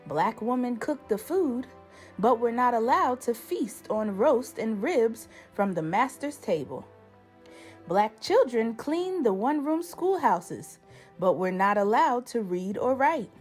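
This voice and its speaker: A woman reader plays a proud, solemn old great-grandmother telling tales in a storybook. Her speech is slow and rhythmic, with emphatic swings in pitch. Soft music sits underneath. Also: sing-song.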